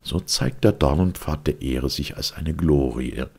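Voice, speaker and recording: A man narrating a literary story with solemn, theatrical weight and a gravelly, husky voice. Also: extremely low pitch, lively intonation, measured pace, dark tone. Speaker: audiobook narrator.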